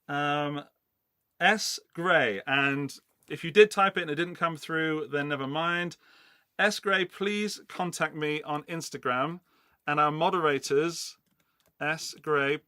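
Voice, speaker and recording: A man's voice: on the high side, bright, forceful tone, no room sound, studio quality. A middle-aged man from England, hosting a casual live-stream giveaway in a loose, rambling, chatty way. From England, Forest of Dean in Gloucestershire. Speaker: livestream host running a giveaway.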